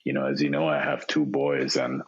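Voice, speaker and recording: A Jamaican man in his thirties, a podcast guest, talking in a relaxed way with many fillers and repeated words over a remote call. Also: extremely low pitch, normal speed, husky. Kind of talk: casual interview chat. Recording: dull lo-fi sound.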